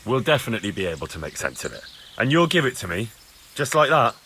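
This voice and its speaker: Lively, blunt young medieval investigator. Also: male voice, mid-range voice, fast talker, slight background noise. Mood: wary, curious. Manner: blunt, insistent, questioning. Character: bold, earnest young male investigator in a lord's service. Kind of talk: dramatic video game acting. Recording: music behind the voice.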